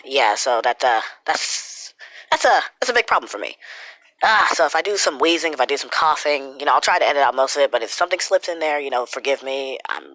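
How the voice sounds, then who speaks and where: Sick guy with a clogged nose, rambling fast and swearing, very dry sound. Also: medium pitch, animated, sharp tone, dry recording, rough recording. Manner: rambling, swears a lot, many fillers. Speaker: YouTuber commentator. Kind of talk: casual commentary rant.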